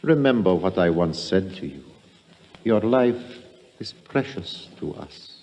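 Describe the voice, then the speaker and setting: A man playing a serene, courteous and quietly ominous high priest in a 1950s radio drama, speaking formally and soothingly. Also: lively intonation, measured pace. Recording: muffled, noisy old radio recording, long reverb.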